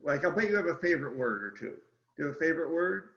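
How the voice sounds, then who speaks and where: A man's voice: a little high, expressive, racing, a bit bright. A man, an illustrator being interviewed, explains his ideas step by step in casual talk, speaking straight to his listeners. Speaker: picture book illustrator, guest. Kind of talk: casual explanatory chat about art. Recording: roomy echo, slightly dull lo-fi sound.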